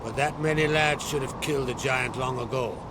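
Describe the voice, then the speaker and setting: An actor playing a proud, fatherly island jarl in a video game, speaking in a deliberate, solemn way with rustic phrasing. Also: mid-range voice, heavy background noise, lightly acted. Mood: weighty, concerned. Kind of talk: dramatic video game dialogue. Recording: game scene with loud music underneath.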